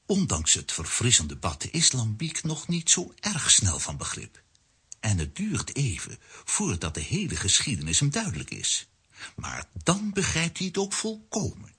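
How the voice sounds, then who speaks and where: An actor playing a wry, animated narrator of a children's radio story, with a husky voice. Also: male voice, mid-range voice, measured pace, exaggerated voice.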